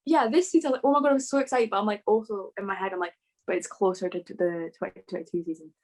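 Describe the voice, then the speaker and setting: A woman's voice: mid-range voice, steady tone, quick pace, a little mellow. A woman chatting casually as a panellist, with a gushing, fan-like way of talking. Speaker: motorsport podcast panellist. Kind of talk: casual chat.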